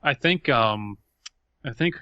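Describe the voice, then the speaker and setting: A man's voice: medium pitch, expressive, slow, dry recording, lo-fi sound. A man co-hosting a podcast, moving between reading a story aloud and casual chat about it. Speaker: podcast co-host.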